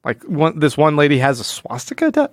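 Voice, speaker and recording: Wry male podcaster, slightly high voice. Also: wildly swooping pitch, brisk, no room sound. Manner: wry, jokey, acts out imagined dialogue. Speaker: podcast host. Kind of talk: casual comic book discussion.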